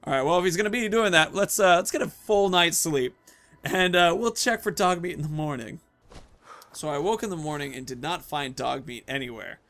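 A man's voice: fairly high pitch, a little fast, a bit bright. A man in his thirties giving casual, rambling commentary as he plays a video game, often thinking aloud and muttering asides. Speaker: video game streamer and host.

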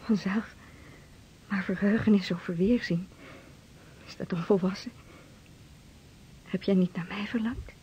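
An actress playing a yearning, playful sailor's sweetheart with a breathy voice in a radio drama. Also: female voice, mid-range voice, steady tone, unhurried, pauses often, warm tone, a little hiss or hum, natural voice. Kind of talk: Dutch radio drama acting. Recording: dull radio play recording.

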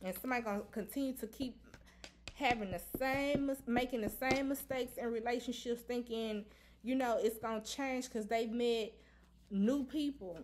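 A woman with a slightly high voice reads tarot cards at a table in a room at home. She speaks bluntly and with emphasis, and she repeats herself as she drives each point home. She swears casually, the way she would in everyday talk. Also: normal speed, noisy background. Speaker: tarot reader. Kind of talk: casual tarot reading to camera.

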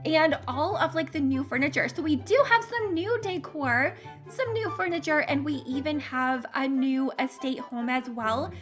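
A young woman chatters bubbly and gushing over a game, her high voice a little worn because she is losing it. Gasps and giggles slip between her lines. Upbeat background music plays clearly beneath her. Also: moderate pace, a bit bright. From Canada. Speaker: gaming channel host. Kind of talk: casual game commentary.

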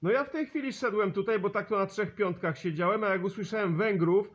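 High-voiced sociable man, dull lo-fi sound. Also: fairly quick. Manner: sociable, repeats call signs and sign-offs. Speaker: CB radio operator and channel host. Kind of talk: amateur radio contact chat, exchanging signal reports. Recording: dull lo-fi radio-contact recording.

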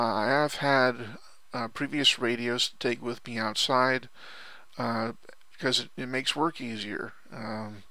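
A man's voice: medium pitch, bright, forceful tone, no echo. A man giving casual, unscripted product commentary in a rambling, hesitant way, often repeating himself. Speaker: online product reviewer.

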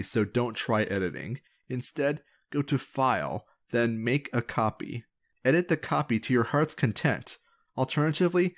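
Playful man narrating for his channel. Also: mid-range voice. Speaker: video creator and channel host. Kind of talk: scripted narration to viewers.

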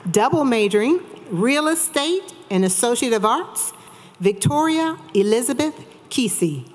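A woman's voice: on the high side, expressive, unhurried. A woman formally and emphatically reading out graduates' names as a commencement announcer. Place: large auditorium stage at a graduation. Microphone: podium microphone. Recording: crowd noise of a large ceremony. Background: crowd and ambience.

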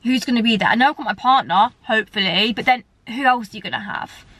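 A woman's voice: fairly high pitch, fast, a bit bright, husky, no room sound. Chatty woman in her thirties. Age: 30s. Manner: chatty and candid, gesturing with both hands. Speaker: vlogger. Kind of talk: casual chat to camera. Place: parked car, indoors.

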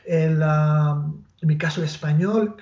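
A man in his forties with a Spanish accent, a literary translator answering interview questions over a video call, pausing and restarting often and adding tag questions. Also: a little high, a little mellow. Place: small room at home with bookshelf. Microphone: earphone mic on video call. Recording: roomy, dull lo-fi call audio.

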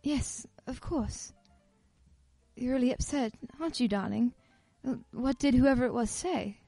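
An actress playing a sociable society wife hosting a party in a radio play, gushing and brisk. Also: female voice, on the high side, mellow, no room sound, faint music behind. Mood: concerned.

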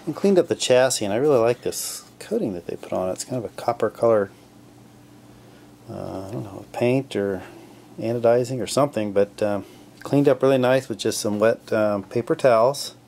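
A man casually narrating his radio restoration at a home workbench, relaxed and full of fillers as he thinks aloud. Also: mid-range voice, moderate pace, creaky, a little hiss or hum. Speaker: hobbyist restoring a vintage radio.

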